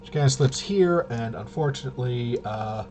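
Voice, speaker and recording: A man casually narrating tabletop roleplaying gameplay as game master, haltingly thinking aloud and muttering to himself as he goes. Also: medium pitch, animated, dark tone, slight background noise.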